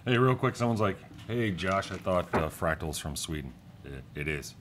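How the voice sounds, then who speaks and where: A man's voice: low-pitched, animated, moderate pace, fairly bright tone, slight background noise. A man who is a guest from a hardware company, chatting in a dry, matter-of-fact way. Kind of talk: casual chat.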